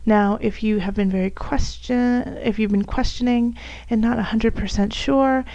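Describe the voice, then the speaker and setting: A woman with a raspy, hoarse voice from bronchitis, softly reading tarot cards for her viewers, at times almost whispering. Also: fairly high pitch, steady tone, moderate pace, dry recording, highs cut off. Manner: soft, at times whispery, with fillers and restarts. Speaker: tarot reader.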